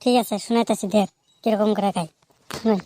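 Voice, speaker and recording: Woman voicing a teasing, mellow cartoon schoolboy. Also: mid-range voice, fairly even intonation, fast talker, a little hiss or hum, natural voice. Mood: mocking. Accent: eastern Bengali. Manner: teasing, boastful. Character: teasing schoolboy showing off to his friend. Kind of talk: comic cartoon dialogue.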